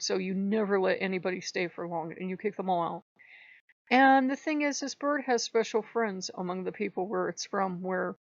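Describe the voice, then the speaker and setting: A hoarse woman hosting her channel, telling a story in a rambling, casual way and stopping to clear her throat. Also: mid-range voice, no echo. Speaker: channel host. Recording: dull lo-fi recording.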